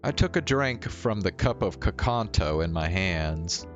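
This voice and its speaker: A man streaming a game, reading visual novel narration aloud in a measured storytelling way. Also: deep voice, lively intonation, muffled recording. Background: game music.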